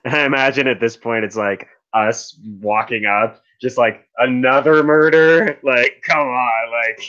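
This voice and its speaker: A man's voice: medium pitch, slow, bright, forceful tone, dull audio. A man role-playing in a tabletop mystery game, speaking in a hesitant, slightly formal way. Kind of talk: in-character improv role-play.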